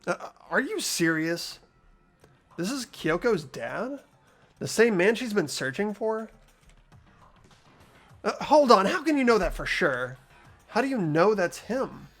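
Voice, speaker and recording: An earnest teenage schoolboy acted by a man with a high voice that swings in pitch. He stammers when startled and narrates expressively, as in a visual novel dub, over faint music. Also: very animated, takes it slowly, pauses often, a bit bright, full character voice. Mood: startled, disbelieving. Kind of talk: amateur voice acting in a visual novel playthrough.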